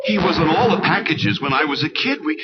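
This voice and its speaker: A man's voice: a little high, lively intonation, fairly quick, pitched above the performer's own voice, natural voice. A man voicing a sheepish, boyish male ghost hunter who stammers apologetically in a storybook read-along. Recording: lo-fi cassette with music.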